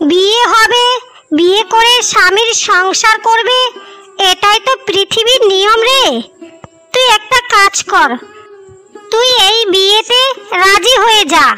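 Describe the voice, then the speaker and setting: Woman playing high-voiced, motherly older mistress. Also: bright, forceful tone, heavily acted. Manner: coaxing, motherly advising. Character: kindly older mistress of the house, advising her maid. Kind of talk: Bengali cartoon story dialogue. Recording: music behind the voice.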